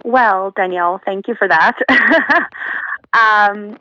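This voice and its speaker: A woman in her twenties from Uzbekistan, a guest speaking thoughtfully from home, pausing with fillers. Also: mid-range voice, sharp tone, lo-fi sound. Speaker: guest, accounting professional. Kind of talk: casual remote interview. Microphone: remote call from home.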